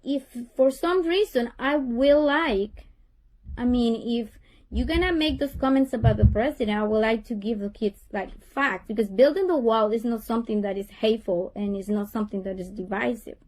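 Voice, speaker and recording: A woman's voice: on the high side, moderate pace, darkish tone, rough recording. A middle-aged woman from Honduras with a Honduran Spanish accent, a parent speaking earnestly to a teacher and often repeating and restarting her words. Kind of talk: tense parent-teacher call.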